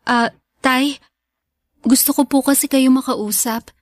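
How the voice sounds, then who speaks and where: A woman's voice: high-pitched, steady tone, takes it slowly, no room sound, clean studio sound. A woman with a Filipino accent playing an earnest, hurt young daughter pleading politely in a radio drama.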